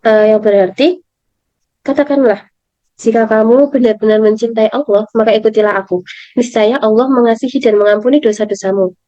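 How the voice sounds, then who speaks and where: A young woman with an Indonesian accent and a hoarse voice, reading a study presentation aloud carefully, as a student. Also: fairly high pitch, a little fast, warm tone. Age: young adult. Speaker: university student. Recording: dull lo-fi, slightly echoing room.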